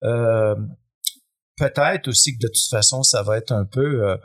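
A man with a Québécois French accent, talking as a guest in a relaxed, rambling way with many fillers. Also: medium pitch, unhurried, breathy edge. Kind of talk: casual conversation.

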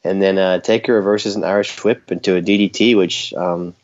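A man's voice: deep voice, steady tone, brisk, darkish tone, muffled recording. A man co-hosting a podcast in casual chat, rambling and joking with frequent laughs. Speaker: podcast co-host.

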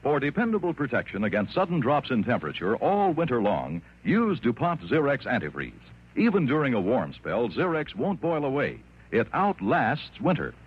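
A man's voice: mid-range voice, huge pitch swings, normal speed, slightly rough, faint music behind. An American man reading a mid-century radio advertisement as announcer, with emphatic, selling delivery. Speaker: commercial announcer. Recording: dull old radio broadcast.

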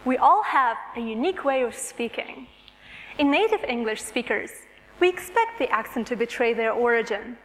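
A woman's voice: a little high, lively intonation, normal speed, a bit bright. A woman with a Russian accent, a native Russian speaker, giving a prepared, composed three-minute thesis talk to an audience. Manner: clear, composed, engaging with the audience. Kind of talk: prepared public speech. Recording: long hall reverb.

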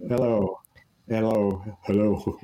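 Man, podcast guest, mellow, warm tone, rambling playful storyteller. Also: lowish voice, steady tone, slow. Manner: playful, slips into silly put-on voices. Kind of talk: casual chat.

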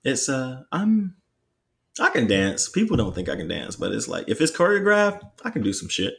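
Animated young man, lively swooping pitch. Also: normal speed, vocal fry, a little hiss or hum. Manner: animated, playful, teasing. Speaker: podcast co-host. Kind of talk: casual chat.

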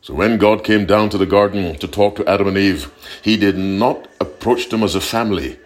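A man giving a short broadcast sermon, earnest and deliberate, repeating key points for emphasis. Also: fairly low pitch. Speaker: preacher.

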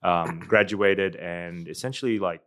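A very deep-voiced man tells his story as a podcast guest. He laughs often and gets animated in his telling. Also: a little slow. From Australia. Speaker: guest, company founder. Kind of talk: casual interview chat.

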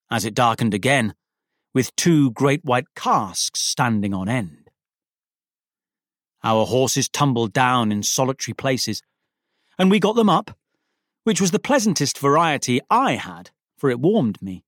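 Male narrator, very lively intonation, dry close studio sound, wry, deliberate phrasing, classic storybook reading. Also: medium pitch, dry recording. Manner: wry, self-deprecating first-person storytelling. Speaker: actor reading an audiobook story. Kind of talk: expressive literary narration of a Victorian story.

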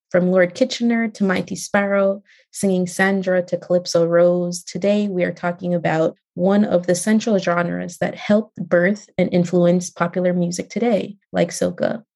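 A woman podcast host reads a prepared introduction in a very mellow voice. It sits a little low and has a noticeable creak.

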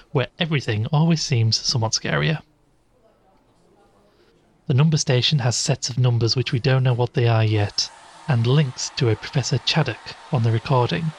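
A man's voice: medium pitch, slightly rough, natural voice. Man playing a cautious podcast investigator in a horror drama. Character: inquisitive, cautious male podcaster investigating eerie disappearances. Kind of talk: first-person audio drama narration and dialogue.

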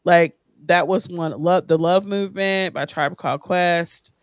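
A woman in her late forties, a podcast guest at home, talking with frank enthusiasm and quick laughing asides. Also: fairly low pitch, steady tone, no echo, studio quality. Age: 40s. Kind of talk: casual podcast chat.

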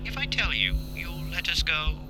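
An actor playing a polite, formal humanoid robot protector who negotiates in measured words, in an audio drama. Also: medium pitch, huge pitch swings. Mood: guarded. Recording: loud music bed behind.

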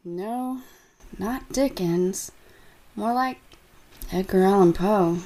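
An actress playing a devoted but secretive young fiancée, speaking gently and evasively. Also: female voice, mid-range voice, slow, natural voice. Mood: ominous. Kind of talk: audio drama acting.

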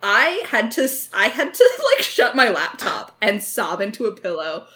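A woman in her twenties chatting casually as a writer in a creator Q&A, candid and enthusiastic, quick to laugh. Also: medium pitch, sing-song, crowd noise. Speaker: audio drama writer in a creator Q&A. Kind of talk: casual chat.

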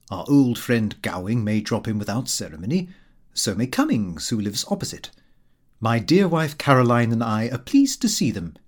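A male reader plays a middle-aged Victorian clerk, narrating his comic diary for an audiobook. He is fussy, pompous and entirely earnest. His intonation is very animated, rising and falling widely as he sets out his small domestic affairs with great self-satisfaction. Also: medium pitch.

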